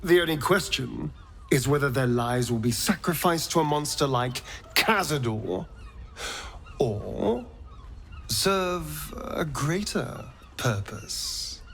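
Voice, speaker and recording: A man's voice: fairly high pitch, expressive, takes it slowly, creaky, fairly bright tone, a little hiss or hum, heavily acted. A man playing a theatrical, scornful vampire in a video game. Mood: scornful, scheming. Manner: theatrical, sardonic, drawling. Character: theatrical, scornful, vengeful male vampire spawn.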